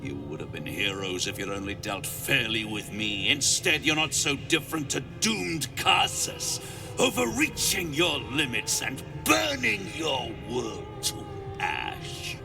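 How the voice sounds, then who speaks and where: A man's voice: mid-range voice, very animated, a little slow, gravelly, distant mic. A man acting a haughty, menacing devil lord in a video game, with theatrical, grandiose delivery and precise diction. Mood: mocking. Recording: game audio with music behind.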